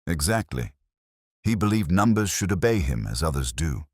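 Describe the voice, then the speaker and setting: A man acts out a dry, philosophical police inspector from a detective story. The voice is very deep and husky, with a lively rise and fall. He speaks in measured, gently ironic sayings. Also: no room sound, full character voice. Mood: grave, assured.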